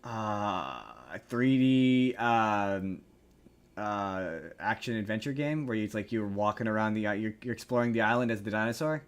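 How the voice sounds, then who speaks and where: A man's voice: on the low side, moderate pace, smooth. A man hosting a podcast with casual pop-culture news chat, speaking in a rambling, opinionated way that often doubles back on itself. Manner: rambling, opinionated, often restarts and repeats himself. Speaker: podcast host.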